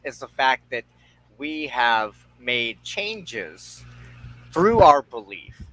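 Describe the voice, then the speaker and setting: Slow, deliberate man in reflective discussion. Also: medium pitch, fairly bright tone, poor audio. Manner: halting, deliberate, breaks phrases into short pieces. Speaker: host of a spiritual discussion show.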